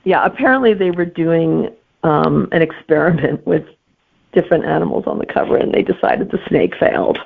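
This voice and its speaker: A woman's voice: sits low, normal speed, gravelly, slightly rough, phone-quality sound. A middle-aged woman answering as an interview guest in casual chat, reflective and wryly humorous. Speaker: romantic suspense author, podcast guest.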